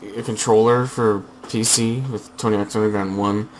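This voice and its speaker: A young man casually chatting over his gameplay through a headset, slightly hoarse, rambling with fillers and restarts. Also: fairly low pitch, level tone, normal speed, a little hiss or hum, rough recording. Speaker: gaming channel host.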